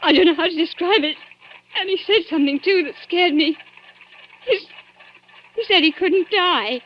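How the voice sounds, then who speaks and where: Frightened, scheming 1940s radio accomplice. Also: female voice, extremely high pitch, normal speed. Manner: tense, dramatic, pressing. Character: frightened but quick-thinking female accomplice, urgent. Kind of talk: 1940s radio thriller acting. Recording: muffled, noisy 1940s radio recording.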